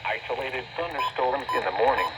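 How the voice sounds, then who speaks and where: A man's voice: mid-range voice, expressive, fairly quick. A man's voice reading a scripted weather bulletin as an announcer through a weather radio speaker. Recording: noisy radio speaker picked up by camera.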